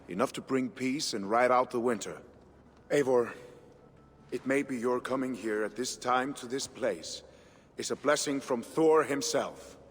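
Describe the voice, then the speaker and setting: A man's voice: medium pitch, a bit bright, breathy edge. An actor playing a mature, gracious Norse king in a game cutscene, with stately, formal delivery. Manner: stately, deliberate, formal phrasing. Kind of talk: dramatic video game cutscene acting. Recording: reverberant hall, faint background noise.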